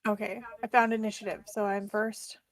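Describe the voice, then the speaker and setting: A woman's voice: medium pitch, little pitch movement, moderate pace. A woman talking casually as a player in a tabletop roleplaying game.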